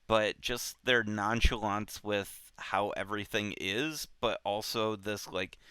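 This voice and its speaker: A man with a bright, smooth voice talks through a book in a casual, conversational way. He speaks slowly and haltingly, circling back over his phrases. The sound is close and very dry. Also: on the low side, dry recording. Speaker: book club show host.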